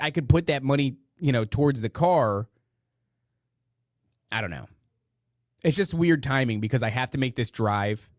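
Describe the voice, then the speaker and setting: A man with a rough voice hosting a podcast, chatting casually in rambling, slangy, profane talk to a live audience online. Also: medium pitch, no room sound, studio quality. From northeastern United States. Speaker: podcast host. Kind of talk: casual live chat, reading listener comments.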